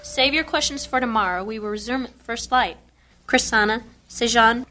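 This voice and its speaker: A woman's voice: fairly high pitch, moderate pace, music in the background, lightly acted. A woman playing a stern, formal temple mistress who teaches mind powers in a fantasy audio drama. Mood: stern, instructing. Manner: formal, authoritative.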